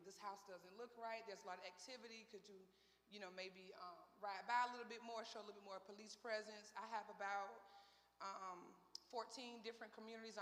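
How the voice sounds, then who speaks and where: A woman's voice: medium pitch, a bit bright. A woman, a sheriff's deputy, giving a casual safety training talk with fillers. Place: church hall. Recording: long hall reverb.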